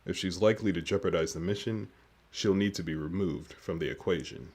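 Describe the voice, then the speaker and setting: An actor playing a cold, controlling leader of a covert team, formal and measured, in an audio drama. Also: male voice, low-pitched, a little mellow, slightly rough. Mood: cold, controlled.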